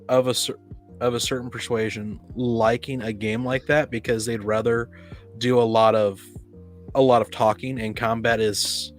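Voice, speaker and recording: A man in a casual podcast discussion, blunt and opinionated, often stumbling and repeating his words. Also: mid-range voice. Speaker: podcast panelist. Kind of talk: casual opinionated discussion of tabletop roleplaying games.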